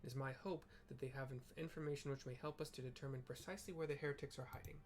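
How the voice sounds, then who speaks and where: A man's voice: medium pitch, fairly even intonation, very fast, lightly acted. A man reading the lines of an earnest young male scholar aloud, in a voice close to his own. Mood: measured. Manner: reads lines aloud in a light, near-natural voice.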